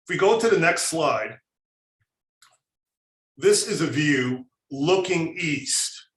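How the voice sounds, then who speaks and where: A man's voice: fairly high pitch, animated, a bit bright. Reflective man in an echoey room. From Albany, New York. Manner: reflective, unhurried, many fillers. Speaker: panelist. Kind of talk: personal storytelling presentation. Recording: roomy echo.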